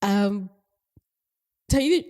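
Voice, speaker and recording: A middle-aged woman hosting a podcast in casual chat, her voice raspy and hoarse from a cold, talking in a rambling, chatty way and laughing often. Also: mid-range voice, lively intonation, drawn-out words, a little mellow. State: hoarse from a cold, stuffy nose. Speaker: podcast host.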